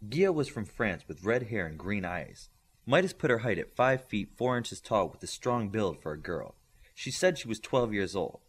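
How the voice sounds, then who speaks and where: A man's voice: on the low side, big pitch swings, moderate pace, slightly rough. A man narrating a scripted fiction audiobook, reading a story aloud.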